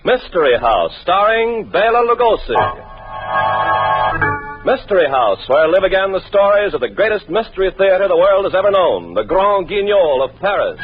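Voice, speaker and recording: A man's voice: sits high, sing-song, moderate pace. A man announcing an old radio mystery show, with jovial, mock-nervous patter. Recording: muffled old radio recording, music under.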